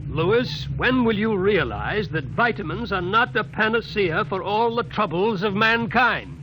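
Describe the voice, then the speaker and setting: Ship's doctor, thoughtful, dryly opinionated, high pitch, old radio drama, noisy sound. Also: male voice, animated, a little slow, pushed and bright, full character voice. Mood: musing, wry. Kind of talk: 1950s radio drama acting. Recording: noisy vintage radio recording.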